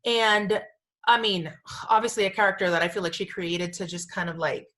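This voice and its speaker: A woman's voice: mid-range voice, moderate pace, a bit bright. A woman hosting a casual pop culture podcast, gushing and emphatic, with informal slang and phrases repeated for emphasis. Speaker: podcast host.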